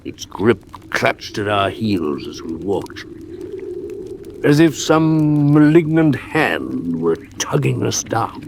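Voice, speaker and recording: An actor playing a doctor narrating his detective memoir in a radio drama, with measured, atmospheric delivery. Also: on the low side, big pitch swings, vocal fry, noisy background, heavily acted. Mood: grave.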